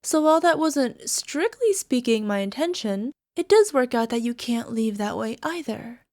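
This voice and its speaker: A lovesick young alchemist girl acted out by a woman speaks in a fairly high voice with no room echo. She coaxes coyly and sounds sweet with a possessive, menacing edge, in the style of an ASMR girlfriend roleplay. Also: expressive, moderate pace, a little mellow, clean studio sound. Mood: smug, calm. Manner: coy, coaxing, giggly, tag questions. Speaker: voice actor.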